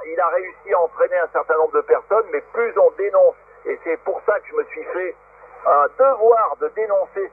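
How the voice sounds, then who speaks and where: A man's voice: high-pitched, husky. A man talking informally as an amateur radio operator over a shortwave radio link. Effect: shortwave radio transmission. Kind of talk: informal argumentative radio exchange. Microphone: radio transceiver microphone. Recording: narrow, tinny, noisy shortwave radio link.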